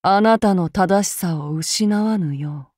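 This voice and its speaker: A woman voicing a young samurai woman, cold, vengeful and unstable, in slow, measured game lines. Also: fairly low pitch, no echo, clean studio sound. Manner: slow, measured, calm then suddenly fearful. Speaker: voice actress for a game character.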